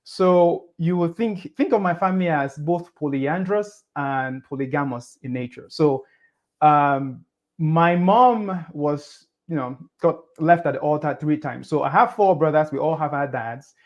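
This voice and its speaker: Man with a lively voice. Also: fairly high pitch, unhurried, lo-fi sound. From Cameroon. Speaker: podcast guest. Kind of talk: casual interview storytelling.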